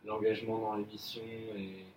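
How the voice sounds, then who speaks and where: A man's voice: on the low side, flat delivery, normal speed, a little mellow, close to an everyday voice. A young man playing a hesitant employee. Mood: earnest. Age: young adult. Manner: hesitant, many fillers and restarts. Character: young male employee, earnest and polite. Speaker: employee in an appraisal role-play. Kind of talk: amateur role-play of a job interview. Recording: echoey room, mic far off, faint noise.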